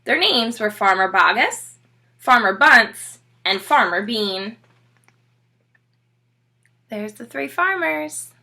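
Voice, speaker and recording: A woman with a high, bright voice reads a children's storybook aloud like a schoolteacher. She is expressive and emphatic, pausing for short asides to her listeners. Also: vocal fry. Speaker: teacher reading a children's book aloud.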